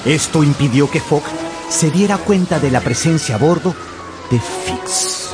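A man narrates a radio drama in a theatrical, scripted style. His voice sits fairly high and moves in a lively way, with music underneath. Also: a little slow. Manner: storytelling, theatrical. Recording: music behind the voice.

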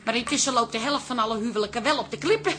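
Shrill, wailing, dramatic aggrieved wife. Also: female voice, racing, bright, forceful tone, slight background noise. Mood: fretful. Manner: wailing, exasperated, folksy. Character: aggrieved, dramatic wife fed up with her meddling father. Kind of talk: radio comedy-drama acting.

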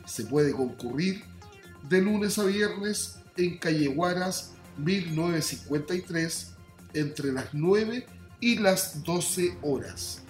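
Man, radio announcer, scripted, formal, slow measured pace, echoey, music underneath. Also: a little high, lively intonation. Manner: measured, formal, reading out details. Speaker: announcer of a municipal radio bulletin. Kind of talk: scripted public service announcement. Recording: echoey voice over background music.

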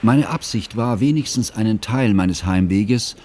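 An actor playing a sad, elderly scholar who narrates in a formal, reflective, literary manner for a radio drama. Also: male voice, fairly low pitch, unhurried, darkish tone, slightly rough. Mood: measured. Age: older. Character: sad, elderly scholarly man recounting a friend's tragedy. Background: music.

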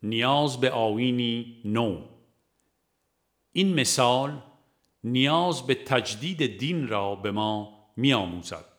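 A man narrates a religious audiobook in a measured, formal reading manner. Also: medium pitch, expressive, fairly bright tone. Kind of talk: scripted narration of a religious book.

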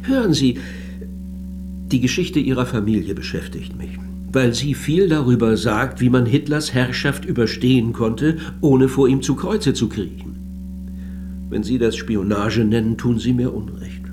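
An actor playing a polite, persistent researcher in a radio drama, measured and probing as he questions someone. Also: mid-range voice, music in the background, lightly acted. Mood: earnest, persuasive. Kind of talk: German radio drama acting.

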